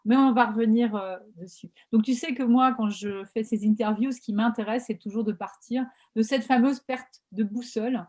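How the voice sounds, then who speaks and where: A woman hosting an interview over a video call, chatty and energetic and using lots of fillers. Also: fairly high pitch, moderate pace, few pauses. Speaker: podcast host and coach.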